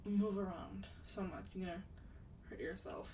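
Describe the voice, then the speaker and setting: A woman playing a sweet, wealthy vampire in a soft-spoken ASMR roleplay, breathy and often near a whisper, gently coaxing with a slightly haughty air. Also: medium pitch, steady tone, normal speed, distant mic, background noise, lightly acted. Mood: calm, reassuring.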